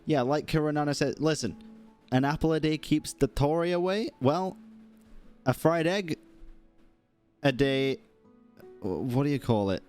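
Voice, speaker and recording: A man's voice: a little high, measured pace, pauses often, darkish tone, clean tone, faint music behind. A young man with a British accent giving casual, blunt, sweary live reaction commentary as a game streamer.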